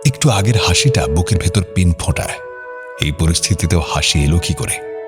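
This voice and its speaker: A man's voice: deep voice, expressive, normal speed, loud music behind the voice. A man narrating an audio story drama in a scripted, dramatic style.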